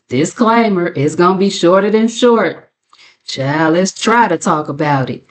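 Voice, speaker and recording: An American woman with a slightly low voice hosts a gossip commentary channel. She talks casually and colloquially, with a sassy, mocking edge as she recaps the latest mess. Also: expressive, slow pace. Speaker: commentary channel host and narrator.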